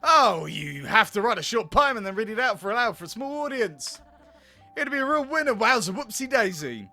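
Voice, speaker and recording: A man's voice: high-pitched, big pitch swings, a little fast, bright, forceful tone, exaggerated voice. A man voicing an earnest, naive, eager restaurant captain in a comedy visual novel, with silly exclamations.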